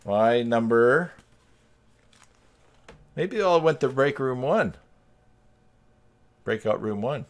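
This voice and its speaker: A man's voice: medium pitch, takes it slowly, long pauses. A man hosting a live stream, chatting informally and jokingly with a group on a video call.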